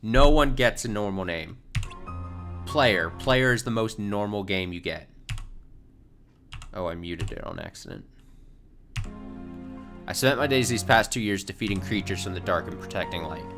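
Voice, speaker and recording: A man's voice: on the low side, normal speed, long pauses. A man doing casual, sweary live commentary on game cutscenes, reading dialogue aloud and reacting with incredulity. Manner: sweary, incredulous, often laughing. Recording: game music playing behind. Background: video game cutscene music.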